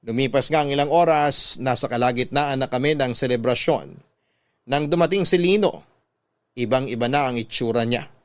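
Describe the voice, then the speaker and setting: A man's voice: mid-range voice, dry recording. A man with a Filipino accent reading a scripted first-person love story as narrator, in a classic radio storytelling style.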